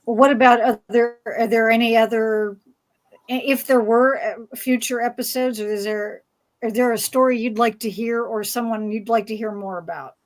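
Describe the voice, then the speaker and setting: A woman moderating a casual fan panel discussion, speaking haltingly as she searches for words. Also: fairly high pitch, steady tone.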